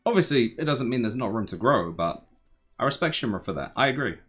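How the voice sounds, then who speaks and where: A young man giving casual, slangy reaction commentary, musing aloud in a laid-back, tired, low-energy way. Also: sing-song, fairly quick, a bit bright, breathy edge, soft background music. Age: young adult. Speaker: anime reaction host. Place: small bedroom, indoors.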